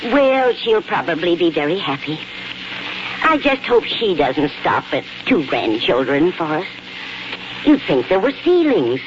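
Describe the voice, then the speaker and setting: A woman's voice: on the low side, sing-song, a little slow, background noise. Wistful old mother, vintage radio. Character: wistful, devoted elderly mother of grown children. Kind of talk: 1940s radio drama acting. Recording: muffled 1940s radio broadcast with music.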